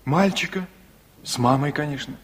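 An actor playing an impulsive, earnest, big-hearted young son with a husky voice in a 1960s Soviet radio play. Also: male voice, a little high, normal speed, natural voice. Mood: eager. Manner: eager, insistent.

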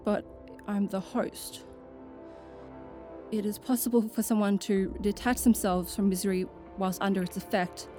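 A woman's voice: medium pitch, steady tone, unhurried, mellow, faint music behind, close to an everyday voice. A woman playing an anxious but determined, self-doubting young adventurer in an audio drama, speaking in a hesitant, plain way. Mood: muttering, reasoning.

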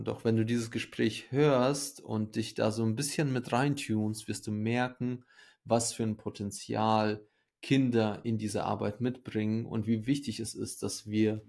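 A man's voice: lowish voice, steady tone, unhurried, gravelly, a little mellow. A man from Ukraine hosting a podcast, speaking in an earnest, personal way to listeners and his guest. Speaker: podcast host. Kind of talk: conversational podcast introduction and interview.

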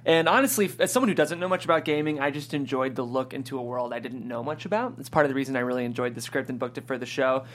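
A man's voice: mid-range voice, fast talker, few pauses, fairly bright tone. A man hosting a script-reading show, presenting guests and reading out stage directions as a narrator. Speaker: host of a table-read show, also reads stage directions.

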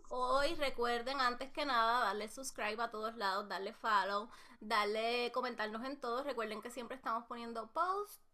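A woman's voice: a little high, normal speed, pushed and bright. A woman co-hosting a podcast, chatting and explaining things to listeners. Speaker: podcast co-host.

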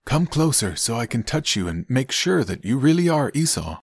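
Man acting a solemn old patriarch, pitched up. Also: sing-song, slightly rough, no room sound, studio quality. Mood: doubtful, probing. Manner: solemn, deliberate, declaiming. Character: old frail solemn father, a biblical patriarch blessing sons.

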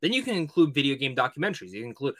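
Male co-host for casual film chat, mid-pitched with a bright, forceful edge, dry sound, opinionated. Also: big pitch swings, rapid-fire, no room sound. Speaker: podcast co-host. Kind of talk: casual movie discussion.

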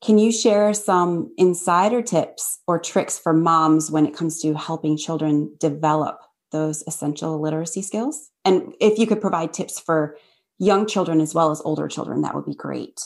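A woman hosting an interview, a mother herself, asking carefully phrased questions at a measured pace. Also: low voice. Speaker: podcast host and mom.

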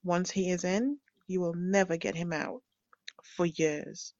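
Gently joking woman, dry dull recording. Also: fairly low pitch, fairly even intonation, measured pace, no room sound. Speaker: fan sending a message. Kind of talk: recorded video message.

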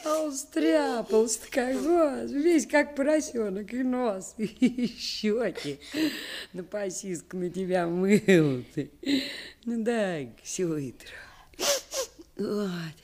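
A woman's voice: medium pitch, slow pace, darkish tone. A woman playing an affectionate old village grandmother in a Russian radio play, folksy and teasing with mock scolding. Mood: fussing, fond.

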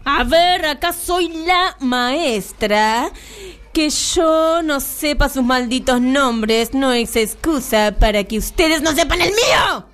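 A woman's voice: high-pitched, lively intonation, takes it slowly, fairly bright tone, faint music behind, heavily acted. A woman playing a gleefully wicked, bossy kindergarten teacher in comic radio theatre, mock-sweet and imperious. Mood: impatient, scolding.

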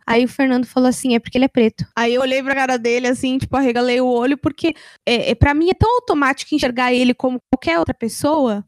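A woman's voice: sits high, a little mellow, no echo, clean studio sound. A woman with a Brazilian accent hosting a group discussion in a casual, earnest chat style.

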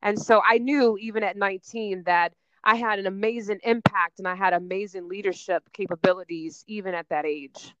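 A woman's voice: medium pitch, steady tone, brisk, few pauses, dull audio, noisy background. A woman guest talking about her career in an emphatic, self-assured way in a podcast interview. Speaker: guest, fitness and wellness coach. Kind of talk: energetic interview answers.

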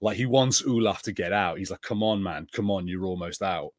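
A man's voice: sits low, brisk, dry recording, clean studio sound. A man co-hosting a podcast, chatting casually and enthusiastically in a review. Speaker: podcast co-host.

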